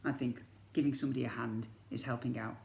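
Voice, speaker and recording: A man's voice: mid-range voice, steady tone, quick pace, vocal fry, a little hiss or hum. A man reading a storybook aloud to young children like a teacher, expressively and with questions to the listeners. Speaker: infant school teacher reading to pupils. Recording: echoey room.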